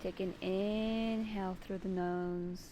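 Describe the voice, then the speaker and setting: A woman leading a guided meditation as its host, giving soothing, deliberate instructions in short phrases. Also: mid-range voice, fairly even intonation, warm tone, a little hiss or hum, rough recording.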